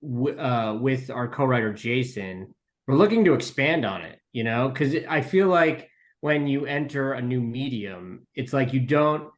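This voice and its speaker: A man's voice: mid-range voice, animated, a little slow. Hesitant man in casual interview. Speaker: podcast guest, audio drama writer.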